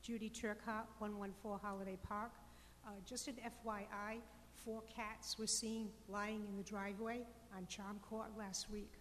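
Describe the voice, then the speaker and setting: A woman's voice: medium pitch, flat delivery, moderate pace, fairly bright tone, a little hiss or hum. A woman resident giving a matter-of-fact report at a community meeting. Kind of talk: casual meeting remark. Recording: reverberant hall, faint background.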